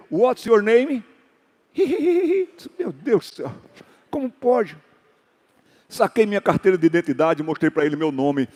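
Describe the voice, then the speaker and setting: A male pastor telling stories in a sermon, acting out dialogue and rising into loud exhortations. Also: high-pitched, big-room sound. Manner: storytelling with acted dialogue and sudden loud exhortations. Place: church hall.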